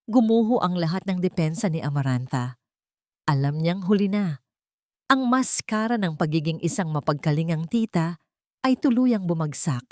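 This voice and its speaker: Low-pitched Filipino woman narrating drama. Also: a little mellow, no echo, clean studio sound. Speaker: storyteller.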